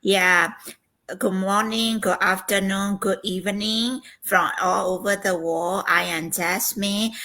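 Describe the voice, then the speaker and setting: A woman's voice: medium pitch, fairly even intonation, slow, sharp tone. A woman from Myanmar, introducing herself politely and formally on a video call. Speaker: guest business partner.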